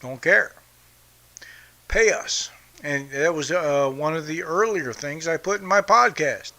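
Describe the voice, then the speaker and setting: A man from the United States hosts his own podcast, delivering a blunt, profane political rant. His voice sits a bit high and sounds bright and forceful. He talks in a combative way that never lets up. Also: lively intonation, a little slow. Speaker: podcast host. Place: home in Brazil.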